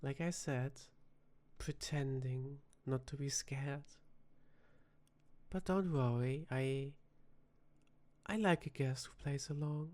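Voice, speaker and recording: A performer voicing a flirty, teasing vampire tavern bartender in a soft-spoken ASMR roleplay, with dramatic pauses. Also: mid-range voice, lightly acted. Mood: teasing, intrigued.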